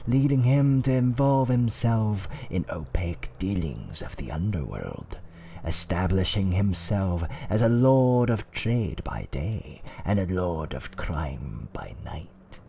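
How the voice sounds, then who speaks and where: A man narrating a dark fantasy tale in a hushed, theatrical, deliberate ASMR storytelling style. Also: low-pitched, big pitch swings, vocal fry, slight background noise. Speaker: storyteller in a roleplay audio.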